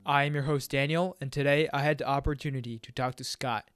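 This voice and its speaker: A man's voice: mid-range voice, fairly even intonation, fairly quick, over music. A young man hosting a podcast, opening the show and asking curious, friendly questions. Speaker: podcast host. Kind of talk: podcast intro and interview questions.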